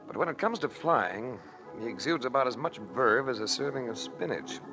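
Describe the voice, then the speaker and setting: An actor playing a wry, hard-boiled investigator in a 1940s radio adventure. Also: male voice, medium pitch, lively intonation, moderate pace, over music, lightly acted. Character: wry, cool male adventurer-investigator narrating his case. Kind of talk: 1940s radio acting, first-person narration. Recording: noisy old 1940s radio recording.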